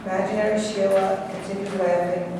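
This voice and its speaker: A woman reads out stage directions in a middling pitch. Her voice comes from far off in a very echoey hall with clear noise. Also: level tone, fairly quick. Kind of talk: scripted narration at a staged reading.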